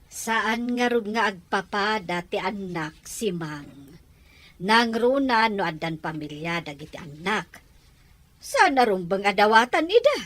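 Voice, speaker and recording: A woman's voice: mid-range voice, sing-song, unhurried, a bit bright. A woman with an Ilocano accent playing a matter-of-fact village neighbour in an audio drama.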